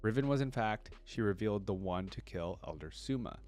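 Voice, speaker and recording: Male reaction creator, lowish voice, casual lore read-through with off-the-cuff asides, faint music underneath. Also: expressive, a little fast, darkish tone. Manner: interrupts reading with conversational asides.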